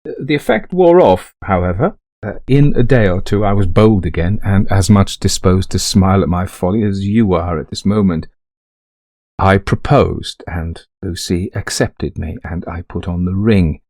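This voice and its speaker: An actor playing a middle-aged, greying widower in a ghost story, confiding an eerie haunting to a friend in genteel, old-fashioned phrasing. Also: male voice, low-pitched, moderate pace, a little mellow, dry recording, studio quality. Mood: uneasy, wry. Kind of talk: dramatised first-person ghost story narration.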